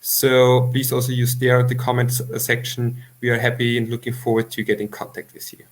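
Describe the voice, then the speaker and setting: A man who speaks English as a second language, hosting an online interview with a halting, slightly unidiomatic way of talking. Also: medium pitch, monotone. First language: not English. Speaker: interview host. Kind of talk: livestream interview introduction.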